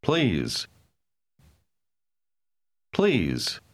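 Very slow man drilling words. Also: deep voice, wildly swooping pitch. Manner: deliberate, syllable-by-syllable. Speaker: course dialogue speaker. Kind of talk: drilled word repetitions.

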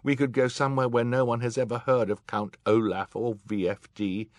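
A man's voice: mid-range voice, flat delivery, moderate pace, no echo, studio quality, pitched above the performer's own voice, close to an everyday voice. A man voicing a worried, earnest boy with an urgent, plain-spoken way of talking in an audiobook. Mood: urgent, bitter. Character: earnest, worried boy, sibling of two sisters.

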